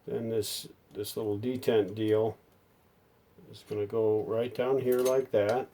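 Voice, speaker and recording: A man with a fairly low, very mellow and relaxed voice talks through a hands-on repair. He is unscripted and folksy, working things out aloud as he goes. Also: measured pace, a little hiss or hum. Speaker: hobbyist repairer presenting a how-to video.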